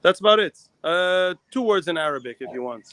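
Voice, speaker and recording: Man from Israel, live-show co-host, somewhat high voice, dry close sound, rambling delivery. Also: expressive, sharp tone, no room sound. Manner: quick, rambling talk with many restarts. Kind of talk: casual live chat.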